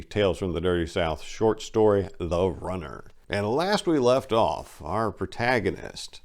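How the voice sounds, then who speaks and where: A man hosting and reading a scripted story as dramatic narration, with theatrical emphasis. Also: deep voice. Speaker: host and narrator.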